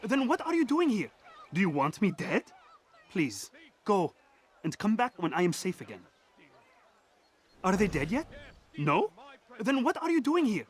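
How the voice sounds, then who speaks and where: High-pitched, panicky pleading man. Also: huge pitch swings, measured pace, pauses often, full character voice. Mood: anxious pleading. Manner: pleading, urgent. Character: frightened, pleading man in hiding. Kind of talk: video game dramatic acting. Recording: loud music over the voice.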